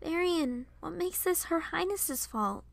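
A woman's voice: extremely high pitch, lively intonation, measured pace, gravelly, no echo, pitched above the performer's own voice, full character voice. A woman voicing a concerned, plucky young girl in a dramatized story, earnest and easily exasperated.

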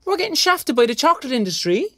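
An actor playing a frank, sardonic young Irish graduate in an audio drama, speaking colloquially. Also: male voice, very high voice, big pitch swings, fast, bright, forceful tone, dry recording, studio quality, full character voice. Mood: indignant. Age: young adult. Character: young underemployed arts graduate, frank and wry. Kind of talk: naturalistic audio drama dialogue.

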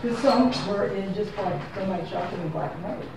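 A woman's voice: mid-range voice, moderate pace. A woman photographer giving an unscripted gallery talk, rambling and correcting herself as she goes, recorded by a far camera in a small gallery room. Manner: rambling, self-correcting, chatty. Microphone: far room camera, no microphone. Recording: very echoey room from far off with background noise.

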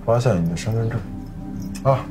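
An actor playing a cocky young gang enforcer who makes casual threats in a taunting, swaggering drawl. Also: male voice, on the low side, a little fast, over loud music, natural voice. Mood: pressing. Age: young adult. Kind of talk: screen drama acting. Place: furnished room indoors.

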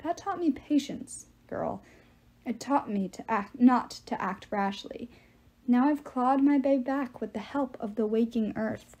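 An ancient giant of a storybook villain, played by a woman narrator. He is menacing and patient, taunting his listener with deliberate, mock-courteous words. The tone stays mellow rather than sharp. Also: fairly high pitch. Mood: bitter pride. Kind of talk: audiobook character voice.

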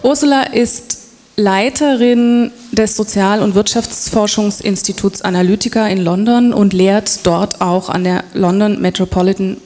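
A woman moderating a conference, introducing the keynote speaker in measured, orderly phrases. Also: medium pitch, steady tone, a little mellow. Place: conference hall. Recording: long reverb of a conference hall.

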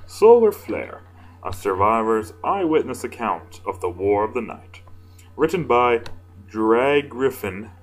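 A man with a deep voice reading fan fiction casually, informal and self-deprecating, stumbling now and then. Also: animated, measured pace, faint music behind, low-quality recording. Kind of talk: casual amateur story reading with asides.

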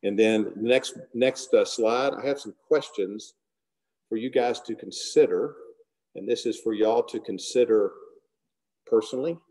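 Middle-aged male guest speaker, mellow low voice, earnest sermon-style talk, softly trailing phrases. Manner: earnest, unhurried, trailing off softly at line ends. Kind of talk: devotional talk and prayer. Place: large hall. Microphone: camera close below the face.

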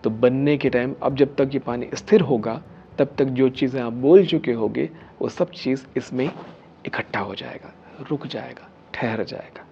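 An earnest man in his thirties teaches seated in a studio, voice warm and a bit gravelly. He leans hard on key phrases, then drops to a near whisper. The sound is slightly dull, with a faint hum of ambience. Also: mid-range voice, normal speed. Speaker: spiritual healer and video host. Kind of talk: instructional talk to camera. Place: studio set with dark curtain and statue.

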